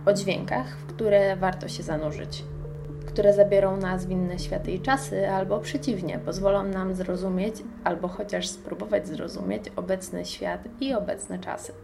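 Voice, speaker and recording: A woman's voice: medium pitch, steady tone, moderate pace, vocal fry, music in the background. A woman, hosting a radio programme and introducing it from a prepared script. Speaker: radio host.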